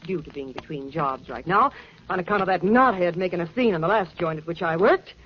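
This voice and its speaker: A woman's voice: lowish voice, animated, fairly quick, natural voice. A woman playing a brassy, flamboyant, hot-tempered American actress in 1940s radio drama, mixing street slang with stilted formality. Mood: wheedling. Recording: muffled, noisy 1940s radio recording.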